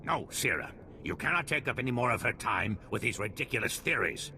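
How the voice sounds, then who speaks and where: A man's voice: mid-range voice, big pitch swings, brisk, sharp tone, a little hiss or hum, full character voice. An actor playing a cynical, argumentative male alien scientist in a video game, with an emphatic delivery. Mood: scornful.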